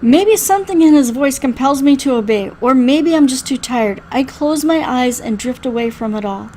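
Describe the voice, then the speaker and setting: High voiced lady reading out a story game, really expressive, bit of noise behind her. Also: moderate pace, rough recording. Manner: expressive, acts out the dialogue. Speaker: reader playing through a visual novel. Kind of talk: dramatic first-person story reading.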